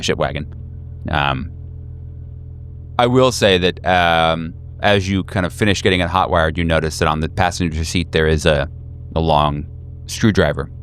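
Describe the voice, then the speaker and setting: A man's voice: low voice, moderate pace, fairly bright tone, slight background noise. A man running a tabletop horror game as game master, improvising narration in a casual way. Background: background music.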